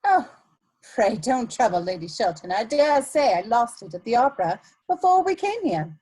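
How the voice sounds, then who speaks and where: Worldly Victorian schemer for stage comedy, acted by a woman; high, lively, husky, arch. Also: normal speed. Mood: arch, polite. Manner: arch, smoothly polite. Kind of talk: stage comedy dramatic reading.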